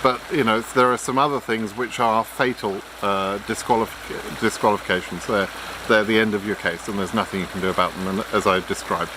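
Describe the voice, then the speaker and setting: A man's voice: fairly low pitch, fairly even intonation, brisk, background noise. A man giving blunt, frank advice in an unscripted explainer, lecturing with frequent ums and restarts. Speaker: immigration advice vlogger.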